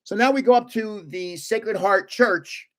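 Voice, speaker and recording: A man in his seventies hosting a casual livestream, telling rambling anecdotes with frequent laughter. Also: a little slow. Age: 70s. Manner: self-described booming voice when yelling. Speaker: livestream host opening trading cards. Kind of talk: casual storytelling chat.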